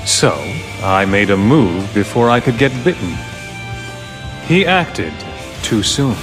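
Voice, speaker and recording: Middle-aged villain director, game drama, condescending, slow, wide swings in pitch, music behind. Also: male voice, big pitch swings. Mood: grandiose. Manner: theatrical, condescending, measured. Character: calculating middle-aged male director, coldly grandiose villain. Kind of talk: dramatic game cutscene acting.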